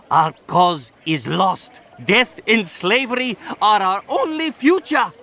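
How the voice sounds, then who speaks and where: An actor playing a dignified, troubled ancient Egyptian sage in a fantasy game, formal and theatrical. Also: male voice, high-pitched, bright, forceful tone, exaggerated voice. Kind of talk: scripted fantasy game dialogue.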